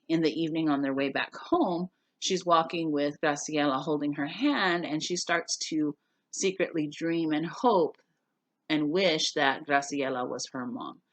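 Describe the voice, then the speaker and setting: A woman's voice: low voice, dry recording. A woman teacher talking through and reading a class novel aloud for students, explaining things simply. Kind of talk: casual spoken recap and story read-aloud for students.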